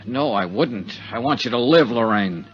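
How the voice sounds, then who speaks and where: A man acts out a hard-boiled American investigator in a 1950s radio drama. His pitch moves freely as he coaxes or gives orders, steady throughout. The vintage recording is dull and lo-fi. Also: normal speed, a little hiss or hum, natural voice. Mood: earnest, probing. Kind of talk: 1950s radio drama acting with first-person narration.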